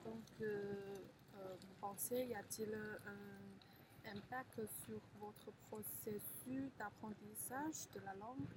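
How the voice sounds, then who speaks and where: A woman interviewer reads her questions slowly, halting and restarting as she goes. A clear layer of background noise sits under her voice on the recording. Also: mid-range voice, steady tone. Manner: halting, slow, stumbles over questions.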